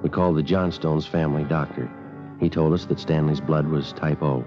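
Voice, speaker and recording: An American man acting a calm police sergeant and narrating a radio drama in a clipped, matter-of-fact, deadpan way. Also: extremely low pitch, normal speed. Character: male Los Angeles police sergeant, calm, businesslike detective. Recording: dull old radio recording with music.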